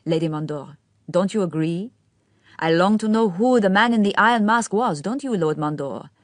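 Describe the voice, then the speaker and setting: A woman's voice: on the low side, no room sound, clean studio sound, natural voice. A woman reading the part of an affected, theatrical young man who gushes and stresses every syllable through a curious accent of his own. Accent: odd foreign-tinged accent of his own. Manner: gushing, every syllable overemphasized, speaking through a smile. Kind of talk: audiobook character reading.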